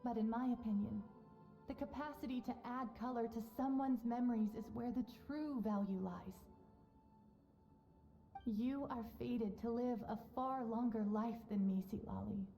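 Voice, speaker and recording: A woman playing a serene, wise mentor in a video game, speaking in a measured, solemn and gentle way. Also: on the high side, lightly acted. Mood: wistful. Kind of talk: video game character acting. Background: music.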